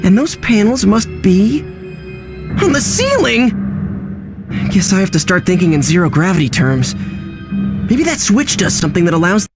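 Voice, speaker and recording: A man's voice: sits high, very animated, measured pace, long pauses, music in the background, heavily acted. An actor playing an easygoing, confident teenage boy hero in a video game, talking in a wry, casual way.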